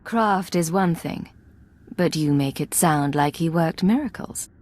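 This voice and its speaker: A cool secret agent in a sci-fi game, bit low, dry and measured. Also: female voice, a little mellow. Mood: cool, probing. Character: cool, probing female Imperial secret agent. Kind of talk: cinematic video game dialogue.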